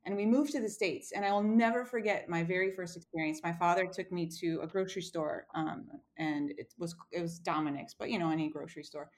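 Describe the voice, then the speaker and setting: Romania-born woman, lowish voice, chatty storytelling with lots of uhs, video call interview. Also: brisk, hardly any pauses. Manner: storytelling, many fillers and restarts. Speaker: cookbook author guest. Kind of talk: casual interview conversation.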